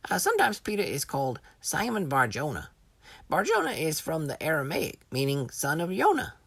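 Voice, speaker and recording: A woman narrating a scripted Bible lesson for children, teaching point by point with expressive storytelling. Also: low-pitched, moderate pace, husky, no echo.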